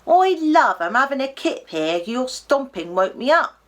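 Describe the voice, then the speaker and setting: A woman's voice: high voice, big pitch swings, measured pace, voice pushed up, full character voice. A woman voicing a brash, cheeky male toy llama with a Cockney accent for a storybook. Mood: grumpy, brash. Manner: loud, bold, streetwise, familiar. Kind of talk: acted storybook character voice.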